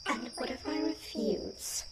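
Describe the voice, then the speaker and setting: A woman's voice: sits high, steady tone, a little slow, heavy background noise. A woman playing a stubborn, playful young tree nymph in an ASMR fantasy roleplay, theatrical and emphatic in her defiance. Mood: stubborn, skeptical. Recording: loud chirping forest ambience over voice.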